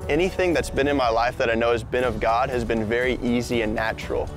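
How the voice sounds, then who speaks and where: A man's voice: medium pitch, fairly even intonation, fast talker, over music. A young adult man casually telling his own love story in a personal, conversational style.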